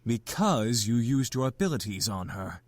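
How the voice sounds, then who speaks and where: An actor playing a polite, stern, composed gentleman in a visual novel, with a formal, measured delivery. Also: mid-range voice, very animated.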